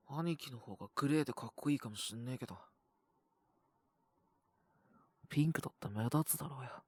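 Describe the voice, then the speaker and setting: A man's voice: medium pitch, a bit bright, no room sound. A man voicing a sullen, possessive young wolf beastman with a cold, speaking in blunt, curt grumbles in an audio roleplay. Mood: annoyed, defensive. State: sick with a cough, acted. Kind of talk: Japanese audio roleplay voice acting.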